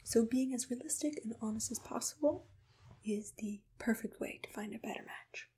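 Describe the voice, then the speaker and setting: A woman playing a gentle, soothing monster matchmaker in a whispered ASMR roleplay, unhurried and reassuring. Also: fairly high pitch. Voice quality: breathy, whispery. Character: soft-spoken, accommodating female monster matchmaker. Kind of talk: ASMR personal-attention roleplay, mostly whispered.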